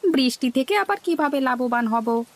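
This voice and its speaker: High, creaky naive fish seller acted by a woman. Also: lively intonation, moderate pace. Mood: puzzled. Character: naive, eager male village fish seller. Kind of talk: cartoon dialogue.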